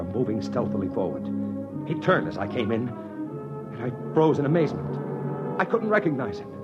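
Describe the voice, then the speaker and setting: A man's voice: medium pitch, lightly acted. An actor playing a desperate, driven reporter who tells his own story in an intense 1950s radio drama style. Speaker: actor narrating and playing the lead. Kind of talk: 1950s radio drama acting, first-person narration. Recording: dull 1950s radio recording with music.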